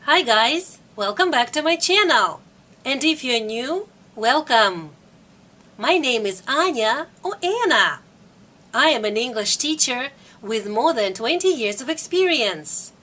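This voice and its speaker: A middle-aged woman teaches English to learners. Her voice sits a little high and rises and falls a great deal. She speaks slowly and repeats each phrase clearly. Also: very animated, pushed and bright. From Russia. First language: Russian. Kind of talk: slow, careful video lesson.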